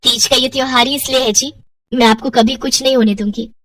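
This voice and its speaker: A woman acts out a humble young maid and devoted wife from a cartoon tale. She is polite and deferential, with a raised, girlish pitch and a dry, close sound. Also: steady tone, a little fast, a bit bright, no room sound, recorded from a distance, rough recording. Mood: tender.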